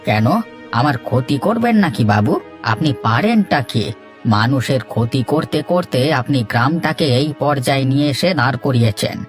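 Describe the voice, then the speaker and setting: A man acts a stubborn cartoon villager who keeps hens and argues indignantly. His voice is mid-pitched, and faint music plays behind. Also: animated, normal speed, close to an everyday voice. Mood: defiant. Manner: indignant, argumentative. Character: stubborn, defiant village poultry keeper, grumbling husband. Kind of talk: cartoon dialogue acting.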